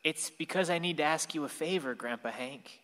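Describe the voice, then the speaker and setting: A man's voice: fairly high pitch, normal speed, a bit bright, lightly acted. Man playing solemn ghost grandson, echoing. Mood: pleading. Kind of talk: radio play acting.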